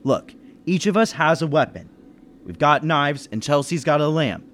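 A man's voice: fairly high pitch, animated, normal speed, fairly bright tone. An actor playing a suspicious businessman who takes charge with folksy authority in a 1930s-style radio mystery. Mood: suspicious, commanding. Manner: commanding, folksy interrogator. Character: suspicious businessman narrator taking charge of a murder inquiry.

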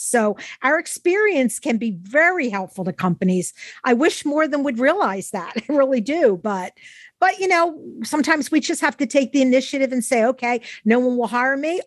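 High-pitched older woman, dry close sound. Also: dry recording. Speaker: podcast host. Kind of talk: casual interview chat.

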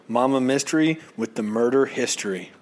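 A man's voice: mid-range voice, normal speed, a little mellow. A man co-hosting a casual true-crime podcast. He is hyper and jokey, tossing out irreverent remarks. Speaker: podcast co-host.

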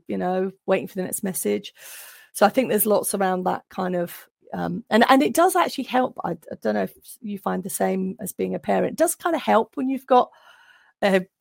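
A woman's voice: medium pitch, creaky, no echo, clean studio sound. A woman guest, an occupational psychologist, talking thoughtfully in an interview and often restarting as she builds her points. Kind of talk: reflective interview talk.

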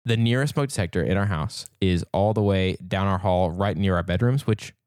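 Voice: low-pitched, moderate pace, a little mellow, no echo, clean studio sound. A curious podcast co-host who is not a chemist, chatting casually and telling stories from home life. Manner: curious, storytelling, asks questions.

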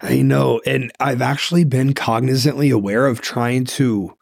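Deep gravelly man giving blunt advice. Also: steady tone, moderate pace, no echo, clean studio sound. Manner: emphatic, coaching tone. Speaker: podcast host. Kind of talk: casual podcast chat.

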